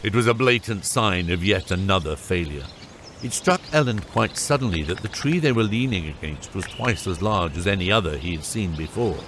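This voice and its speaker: A man's voice: low voice, expressive, moderate pace, background noise. A man narrating a scripted fantasy audiobook.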